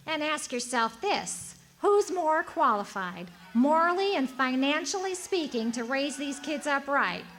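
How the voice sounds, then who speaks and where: A woman's voice: high voice, lively intonation, measured pace, few pauses, a bit bright, faint music behind. A woman acting a self-righteous, indignant frontier townswoman in a live radio drama, haranguing with haughty scorn. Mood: scornful. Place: hotel event room, live performance. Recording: live stage recording, faint noise.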